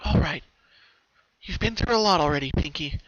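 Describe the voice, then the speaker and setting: A man acts out an anxious young female cartoon pony in a very high, bright falsetto. She frets and talks herself through things, repeating her words as she goes. The recording is dull and lo-fi. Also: expressive, moderate pace, no room sound. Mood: nervous resolve. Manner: fretful self-talk with repeated words.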